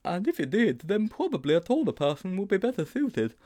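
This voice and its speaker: A man plays an anxious little schoolboy from a comic storybook, pitched very high and swooping about. He dithers, hesitates and drags out his words in a fretful way. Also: sing-song, dark tone. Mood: nervous, evasive. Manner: hesitant, drawn-out, fretful delivery.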